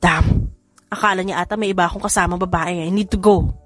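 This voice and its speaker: A woman's voice: lowish voice, fairly even intonation, a little fast, dry recording, soft background music, close to an everyday voice. A woman with a Filipino accent voicing a confident, stubborn young man in love, earnest and mixing in English, in an audiobook romance. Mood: flustered, firm. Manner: earnest, switches between Tagalog and English. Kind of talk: audiobook romance character acting.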